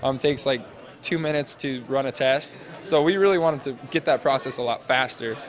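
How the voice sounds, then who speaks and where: A man in his twenties, a student interviewee, explaining a project off the cuff in casual, halting phrases into a handheld mic. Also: medium pitch, some chatter behind. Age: 20s. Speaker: interviewee, senior engineering student presenting a project. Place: large glass-walled university hall or lobby. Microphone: handheld interview microphone held out to him.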